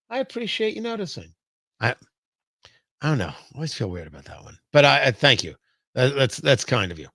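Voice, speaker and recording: A man hosts a live stream in a bright, punchy voice that sits a little high. He chats casually and playfully, wandering into asides and mock indignation, with a close, dry studio sound. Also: dry recording. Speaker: talk show host.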